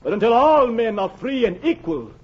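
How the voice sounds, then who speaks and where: An actor in a 1940s radio drama playing a solemn, defiant enslaved man willing to die, speaking in slow, measured, impassioned oratory. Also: very high voice, sing-song, slightly rough, heavily acted. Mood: defiant, resolute. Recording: muffled vintage 1940s radio recording.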